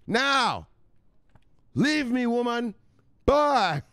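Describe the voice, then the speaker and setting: A man putting on a frantic, shouting son pleading with mama. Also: very high-pitched, drawn-out words, clean tone, no echo, clean studio sound, voice pushed up, exaggerated voice. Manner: shouty, excitable, broken phrasing, repeats "look, mama".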